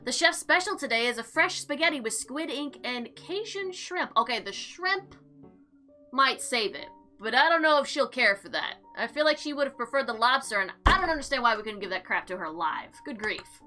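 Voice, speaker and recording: A woman with a high, bright voice hosts a game playthrough. She reads the story text aloud with lively swings of pitch and drops in slangy, sarcastic asides. Faint music plays behind her. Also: moderate pace. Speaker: gaming video host. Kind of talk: animated let's play, reading game text aloud with casual commentary.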